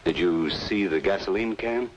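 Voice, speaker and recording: Casting: male actor for deep-toned, stiffly formal physician, grave and hesitant; noir radio play on dull vintage audio. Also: normal speed, close to an everyday voice. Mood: grave, cautioning. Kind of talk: old-time radio noir drama acting. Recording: dull, noisy old radio recording.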